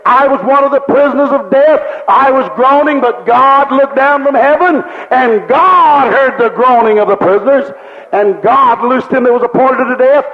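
A man's voice: very high voice, moderate pace. A man preaching a sermon with fervent rhetorical questions and repeated refrains. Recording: dull lo-fi recording with long hall reverb.

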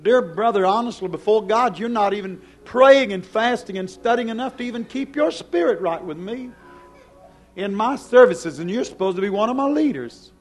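Male hellfire preacher, high-pitched voice. Stern, accusatory, emphatic, with big swings in volume. Faint music underneath. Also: animated, moderate pace. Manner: stern, accusing, with repeated rhetorical questions; emphatic, swinging between hushed and loud. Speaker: preacher, church pastor. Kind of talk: fiery hellfire sermon. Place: church service before a congregation.

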